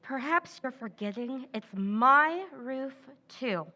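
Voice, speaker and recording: Radio-play schemer: woman doing a cutting, sneering sister, high bright voice. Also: expressive, slow pace, exaggerated voice. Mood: contemptuous. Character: scheming, contemptuous younger sister, adult woman. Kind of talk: old-time radio drama recreation.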